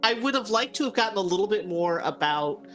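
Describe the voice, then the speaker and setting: A woman co-host chatting casually about a game, thinking aloud in a halting way. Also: mid-range voice, expressive, fairly bright tone, faint music behind. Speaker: gaming co-host. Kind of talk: casual chat reviewing a game.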